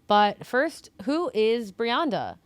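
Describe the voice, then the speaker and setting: A woman co-hosting a podcast in casual chat, chatty with many fillers, often trailing off softer, on a studio boom mic. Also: sing-song, takes it slowly, no echo. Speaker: podcast co-host. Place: podcast studio with purple lighting.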